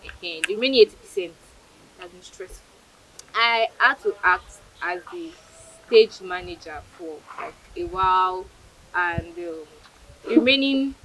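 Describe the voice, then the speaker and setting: A woman's voice: mid-range voice, expressive, measured pace, vocal fry, fairly bright tone, rough recording. A young woman with a Nigerian accent answering informally in an interview as a student stage manager. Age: young adult.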